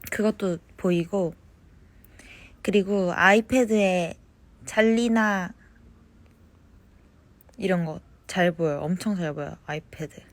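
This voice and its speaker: A young woman chatting casually on a phone livestream, reading fan comments and answering them softly, at times slipping into whispers. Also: fairly low pitch. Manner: slow, soft-spoken, drifts into whispers. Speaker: idol livestreaming to fans.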